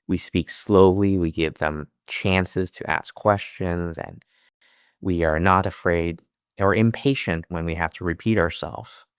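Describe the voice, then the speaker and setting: A man in his thirties with a low, smooth, resonant voice, speaking earnestly as an interview guest. He grew up in America speaking Chinese at home first, and he often restarts his phrases. The sound is dry and close. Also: steady tone, unhurried, dry recording. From United States. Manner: earnest, with frequent restarts and fillers. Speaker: financial advisor, interview guest. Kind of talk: interview answers.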